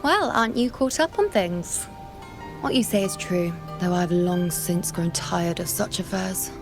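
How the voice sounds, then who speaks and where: A young game priestess who is proud to the core. She speaks in a theatrical, boastful manner with a playful, easily bored edge. Loud music plays behind her. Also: on the low side, unhurried. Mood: bored, teasing. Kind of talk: scripted video game voice acting.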